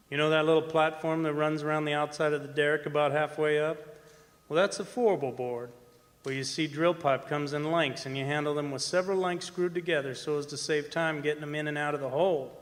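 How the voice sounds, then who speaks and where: Man playing a folksy oil-field roughneck, highish voice, hall. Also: fairly quick, natural voice. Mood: folksy, explanatory. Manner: folksy, colloquial storytelling with hesitant repeats. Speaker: actor narrating a radio play. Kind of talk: radio horror drama performance, first-person narration. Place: performing arts center stage. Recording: echoey hall stage recording with music.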